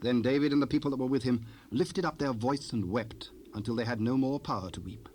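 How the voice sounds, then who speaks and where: A man with a mid-pitched voice narrates scripture, measured and solemn. A faint layer of cassette noise runs underneath. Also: a little mellow. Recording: faintly noisy 1970s cassette rip.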